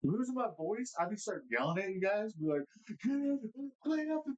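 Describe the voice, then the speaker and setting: A man co-hosting a casual podcast chat, playful and slangy, steering the questions with jumpy, lively talk. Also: sits high, normal speed, lo-fi sound. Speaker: podcast co-host.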